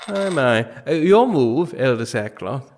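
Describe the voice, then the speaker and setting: Man playing a slow, mild, hesitant king. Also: medium pitch, big pitch swings, background noise. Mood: mild, amused. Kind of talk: comic audio drama acting.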